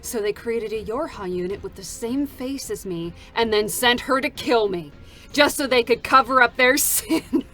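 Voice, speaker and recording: An actress playing a bitter, vengeful combat android in an intense, defiant dramatic reading of a game character. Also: female voice, a little high, normal speed, fairly bright tone, close to an everyday voice. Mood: furious, vengeful. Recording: music behind the voice. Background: music.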